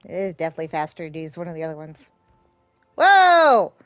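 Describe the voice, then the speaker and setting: Animated woman casually commenting over game music. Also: fairly low pitch, brisk, clear, resonant tone. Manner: muttering to herself, reactive. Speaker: game streamer. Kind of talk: casual let's play commentary.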